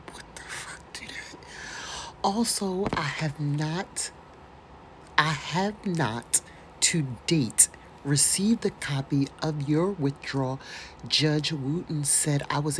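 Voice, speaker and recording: American lady reading stuff out dramatically, low voice, slow delivery, mocking asides, bit of background noise. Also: rough recording. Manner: theatrical, mocking, breaks into exclamations and asides. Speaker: host doing a dramatic reading. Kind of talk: dramatic read-aloud of a letter with casual mocking commentary.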